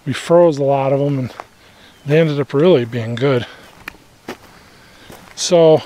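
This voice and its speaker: A man with a slightly higher-than-average voice narrates a casual homestead vlog. He speaks in a plain, unscripted way, as if showing a visitor around. He is outdoors in a rural yard, talking from behind the camera. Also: darkish tone. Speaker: homesteader hosting a garden tour vlog. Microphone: handheld camera's built-in microphone, speaker behind camera.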